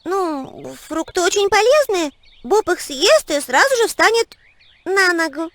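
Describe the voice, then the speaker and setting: A woman's voice: very high-pitched, sing-song, measured pace, a bit bright, no room sound, full character voice. A woman voicing a cheerful, caring little girl heroine in an educational children's cartoon. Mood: caring, instructive.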